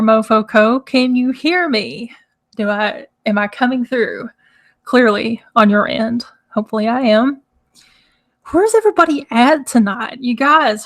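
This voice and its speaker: A woman hosting a casual live stream, chatty and rambling, with a hoarse, crackly, nasal voice from congestion. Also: a little high, a bit bright. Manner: chatty, rambling, laughs often. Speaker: host. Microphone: microphone.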